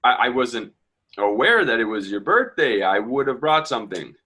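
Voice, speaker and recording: A man's voice: mid-range voice, big pitch swings, normal speed, sharp tone, husky, heavily acted. An actor playing a proud, ambitious, earnest young working man in a dramatic play reading. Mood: apologetic.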